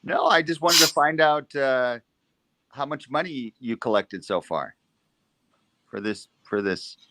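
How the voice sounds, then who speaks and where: A man's voice: medium pitch, measured pace, background noise. A man chatting casually, teasing and playful. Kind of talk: casual chat.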